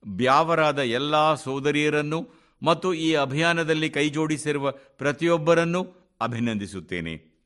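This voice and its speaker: A man reads a formal scripted address for radio, in measured, deliberate phrases with clear pauses. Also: fairly high pitch, a bit bright. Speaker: radio reader of a translated speech.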